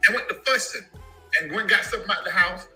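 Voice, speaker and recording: A man telling an emotional personal story about his family, animated and given to sudden high shouts. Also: fast talker, soft background music, poor audio. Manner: animated, jumps into high-pitched shouts when quoting.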